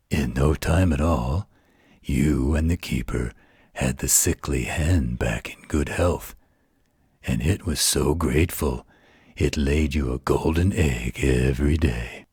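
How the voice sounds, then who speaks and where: Man voicing a very deep, husky, tender werewolf. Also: a little mellow, no echo, clean studio sound, heavily acted. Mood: warm, soothing. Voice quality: gravelly, husky. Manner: soothing, unhurried, tender. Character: gentle, protective male werewolf telling a bedtime story. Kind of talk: bedtime story roleplay audio.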